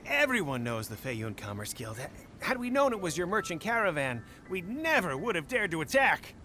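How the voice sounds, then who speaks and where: Casting: craven game thug, high sharp voice, swooping delivery, wheedling and flustered. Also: male voice, big pitch swings, normal speed, faint music behind, full character voice. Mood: fawning, nervous. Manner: flustered, fawning, stammering. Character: cowardly, wheedling bandit pleading his innocence. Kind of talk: video game voice acting.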